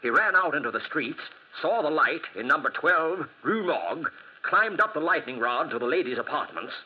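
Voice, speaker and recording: A husky, panicky trapped sailor. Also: male voice, on the high side, very animated, normal speed. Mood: subdued, explaining. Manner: agitated, stammering, pleading. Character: panicky, trapped sailor confessing he owned an escaped animal. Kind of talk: 1940s radio acting. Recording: muffled vintage 1940s radio recording.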